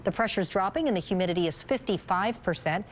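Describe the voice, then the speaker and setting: A woman's voice: mid-range voice, animated, fast talker, a little hiss or hum. A woman with a Canadian accent giving a chatty, upbeat, folksy weather forecast on television. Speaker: TV weather presenter.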